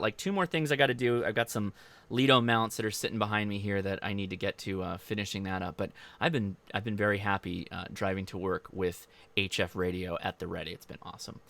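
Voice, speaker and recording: Casting: American male hobby streamer, crisp bright voice, dry close sound, chatty and rambling. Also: medium pitch, no echo. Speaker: host of a ham radio channel. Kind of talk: casual livestream chat, answering viewer comments.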